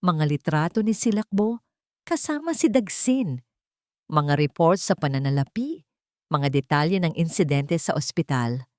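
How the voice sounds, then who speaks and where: A woman's voice: sits low, expressive, measured pace, darkish tone, no echo, studio quality. A woman reading a dramatic scripted story as an audiobook narrator.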